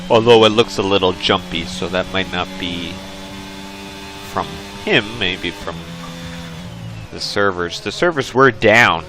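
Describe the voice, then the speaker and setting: A man commentating a sim racing league race in a casual, chatty way, his voice worn and hoarse. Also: deep voice, a little slow. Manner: chatty, many fillers. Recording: game engine sounds and music behind.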